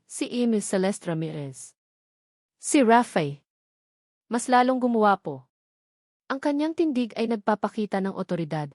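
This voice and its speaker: A woman's voice: medium pitch, darkish tone, dry recording, clean studio sound. A woman reading a dramatic scripted narration of a romance story.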